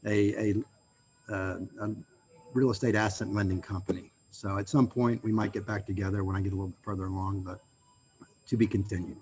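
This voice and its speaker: A man's voice: fairly low pitch, fairly even intonation, brisk, a little mellow, a little hiss or hum, rough recording. Eager, stammering man on a video call. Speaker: guest speaker. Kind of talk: casual chat.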